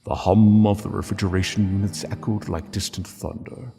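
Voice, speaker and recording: A man narrating a dramatic scripted story in a solemn, measured way, pausing between phrases. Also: fairly low pitch, steady tone, creaky, mellow, breathy edge. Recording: long reverb, music underneath. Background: music.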